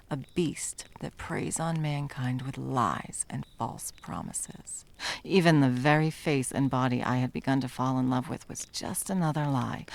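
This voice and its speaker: A woman's voice: a bass voice, creaky, slight background noise, full character voice. A woman playing a wry, menacing monster hunter who is sadly in love, in intimate ASMR roleplay with a theatrical, sardonic delivery that dips into whispers. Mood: tender, regretful.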